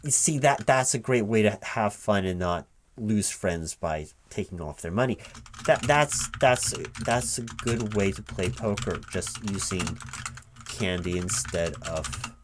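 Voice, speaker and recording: A man speaking aloud in a one-sided ASMR job interview roleplay as a recruiting manager, halting and repeating himself as if puzzled. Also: lowish voice, a little hiss or hum. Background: computer keyboard typing.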